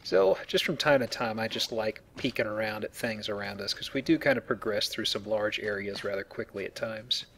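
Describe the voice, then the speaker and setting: A man's voice: lowish voice, normal speed, few pauses, fairly bright tone, a little hiss or hum. A man giving casual, joking commentary on a video game as he plays and explains it. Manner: joking, colloquial. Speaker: video game let's player.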